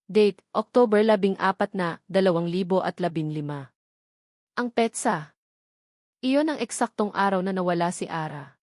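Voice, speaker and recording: Female Filipino narrator for scripted romance drama; mid-pitched, very dry recording. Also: a little mellow, no room sound. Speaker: story narrator.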